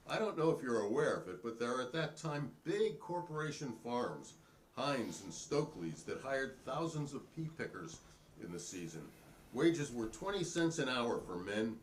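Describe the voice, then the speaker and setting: A man's voice: medium pitch, slight background noise, close to an everyday voice, rough recording. A man playing a plainspoken, wry printer testifying politely and pointedly in a dramatic reading of a 1950s hearing.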